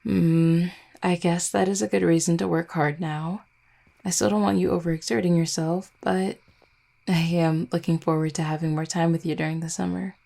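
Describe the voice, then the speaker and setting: A woman playing an affectionate, gently teasing vampire girlfriend in an intimate roleplay audio, speaking tenderly and without hurry. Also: on the low side, little pitch movement, moderate pace, gravelly. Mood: thoughtful. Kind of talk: intimate roleplay audio, soft conversational acting.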